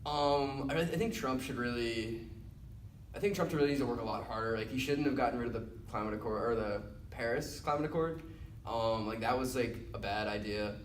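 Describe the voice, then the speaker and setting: A man's voice: mid-range voice, fairly quick. Young guy, college student, rambling, lots of fillers, echoey room, far mic. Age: young adult. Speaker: interviewed student. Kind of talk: casual interview answer. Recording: roomy echo, mic at a distance, faint hiss.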